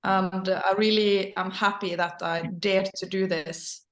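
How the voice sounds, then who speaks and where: A middle-aged woman whose native language is Norwegian, speaking English with a Norwegian accent in casual personal storytelling, sometimes halting as she searches for words. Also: mid-range voice, steady tone. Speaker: interview guest, company leader. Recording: roomy echo.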